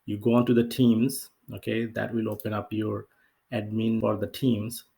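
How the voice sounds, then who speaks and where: A man presenting a software tutorial as a casual, unscripted screen-share walkthrough, explaining in a hesitant, rambling way. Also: fairly low pitch, level tone, moderate pace, gravelly. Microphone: off-camera voice-over for screen recording.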